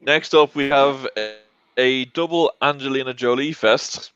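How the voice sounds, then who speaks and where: A man's voice: medium pitch, measured pace, a bit bright, slight background noise. A man hosting a casual panel debate about films, recorded on a lo-fi online call. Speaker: show host.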